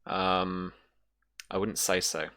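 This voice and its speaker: A game master improvising descriptive narration for a tabletop role-playing game in a halting way. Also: low-pitched, little pitch movement, fairly bright tone.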